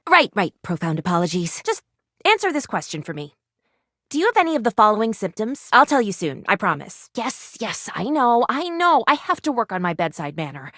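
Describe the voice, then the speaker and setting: Eccentric female medic, game character: lively, swooping delivery, wordy and earnest, very dry close recording. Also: medium pitch, a little fast, dry recording. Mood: worried, apologetic. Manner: quirky, precise, wordy, earnestly awkward. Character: eccentric, eager female medical student, a household object come to life. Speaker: video game voice actor. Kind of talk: animated video game character dialogue.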